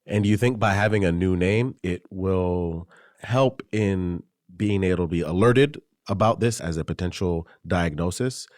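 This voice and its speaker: A man hosting a podcast interview, asking measured, thoughtful questions. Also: deep voice, darkish tone, dry recording, clean studio sound. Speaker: podcast host.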